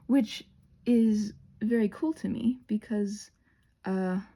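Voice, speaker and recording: A woman hosting a casual craft tutorial, thinking aloud in a scattered, self-interrupting way with playful, expressive exclamations. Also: mid-range voice, slow pace, breathy edge. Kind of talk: rambling casual craft narration.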